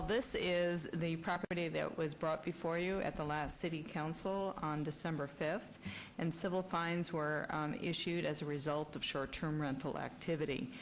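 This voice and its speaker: A woman with a low voice reads out an official staff report at a council meeting. Her delivery is measured and businesslike, with a few ums. A faint hiss sits beneath. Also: rough recording. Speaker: deputy planning director. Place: city council chamber.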